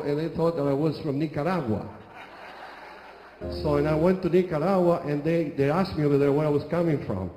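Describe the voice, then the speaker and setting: A middle-aged man with an Argentine Spanish accent preaches from a church stage into a handheld mic, joking and stumbling through restarts. His voice rings with long reverb in a big sanctuary, and music is clearly audible behind him. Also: fairly high pitch, warm tone. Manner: halting, frequent restarts and fillers, humorous storytelling. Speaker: bishop. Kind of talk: sermon. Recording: echoey church hall with music.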